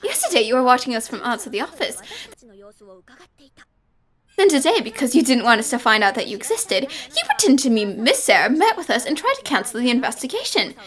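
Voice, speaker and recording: A woman plays a young female cartoon detective with a high, lively voice. She lays things out with total confidence and a theatrical flourish. Her pitch moves freely as she makes each point. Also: a little fast. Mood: assured, deductive. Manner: assured, explanatory, dramatic. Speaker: voice actor. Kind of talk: fan-dubbed cartoon acting.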